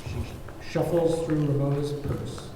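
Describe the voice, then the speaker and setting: A man's voice: on the high side, steady tone, slow pace, dark tone. A man narrating, reading out the stage directions of a script at a table read. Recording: very echoey room, faint background noise.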